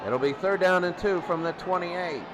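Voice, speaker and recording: A man's voice: high-pitched, moderate pace, lo-fi sound, crowd noise, noisy background. A man doing live football play-by-play in short, repetitive sentences, as the announcer. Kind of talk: live play-by-play sports commentary.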